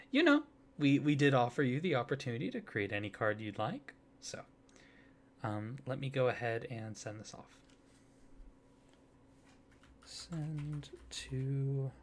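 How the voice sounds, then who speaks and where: A man doing a soft-spoken ASMR roleplay, hesitant and flustered, with many fillers, restarts and awkward apologies. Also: fairly high pitch, slight background noise.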